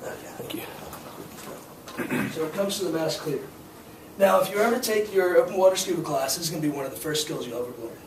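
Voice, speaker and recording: A hoarse young man teaching a scuba class, explaining gear and skills in a casual, filler-heavy way. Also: a little high, brisk. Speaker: scuba instructor. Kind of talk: hands-on demonstration to a class. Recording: echoey room, distant mic, noisy.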